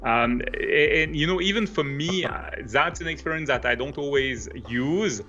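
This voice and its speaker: A man with a Swiss French accent giving a conversational live coding walkthrough as a software developer. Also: fairly high pitch, moderate pace, lo-fi sound. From French-speaking Switzerland.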